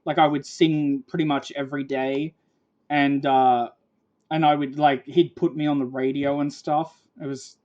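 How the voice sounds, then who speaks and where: A man in his late twenties with an Australian accent and a high-pitched voice, rambling casually with lots of fillers. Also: level tone, moderate pace, a little mellow, dull audio. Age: 20s. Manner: rambling, filler-heavy storytelling. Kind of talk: casual chat.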